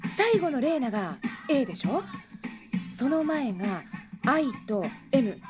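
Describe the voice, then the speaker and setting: An actress playing an eager young woman assistant who speaks quickly and excitedly in a radio drama. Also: high voice, over music.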